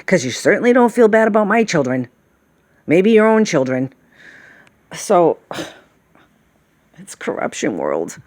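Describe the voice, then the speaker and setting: A woman's voice: on the low side, sing-song, slow, long pauses, a little mellow, no echo. Sighing, rambling woman in her late fifties. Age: late 50s. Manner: sighing, meandering, peppered with "okay?" and "all right?". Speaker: vlogger. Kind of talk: rambling casual monologue.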